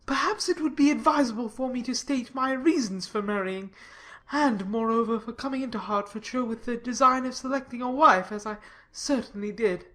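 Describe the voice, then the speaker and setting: A woman's voice: fairly high pitch, moderate pace, a bit bright, close to an everyday voice. A woman playing a solemn, self-satisfied clergyman in an audiobook drama, speaking in a pompous, formal, long-winded way. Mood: pompous, self-assured.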